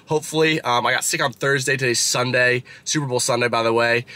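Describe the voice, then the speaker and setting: Stuffy nasal young dude rambling through his grocery run, talks fast, camera in hand. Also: mid-range voice, steady tone. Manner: chatty, quick, rambling asides. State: congested, nasal. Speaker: fitness vlogger. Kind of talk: casual vlog walkthrough. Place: grocery store, indoors. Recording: loud background music.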